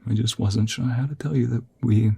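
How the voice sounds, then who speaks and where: Man acting very husky, earnest young husband. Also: mid-range voice, fairly even intonation, brisk, warm tone. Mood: moved, hesitant. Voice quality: breathy, husky. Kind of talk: ASMR audio roleplay acting.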